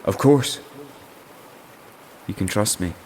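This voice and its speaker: Audio drama suitor: earnest adoring mortal, lowish pitch, light background noise. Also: male voice, takes it slowly, a little mellow. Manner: earnest, reverent.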